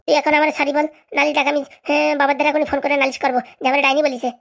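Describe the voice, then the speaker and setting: Casting: woman to play domineering cartoon wife, high creaky voice, relentless scolding. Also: level tone, a bit bright. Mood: outraged. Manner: scolding, accusatory, rapid-fire haranguing. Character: bossy, nagging, domineering wife of a village politician. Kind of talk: comic cartoon voice acting.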